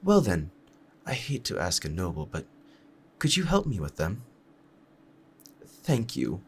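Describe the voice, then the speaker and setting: A man's voice: low-pitched, huge pitch swings, unhurried, creaky, full character voice. Guy acting as super polite deferential shopkeeper man, formal n apologetic, really swoopy intonation, bit of background noise. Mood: apologetic, grateful. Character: polite, deferential male storekeeper, an assassin's disguise. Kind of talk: scripted audio roleplay addressed to the listener.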